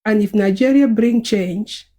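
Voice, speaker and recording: A woman's voice: mid-range voice, a little slow, warm tone. A woman with a South Sudanese accent speaking earnestly and a bit hurriedly in an online group audio chat.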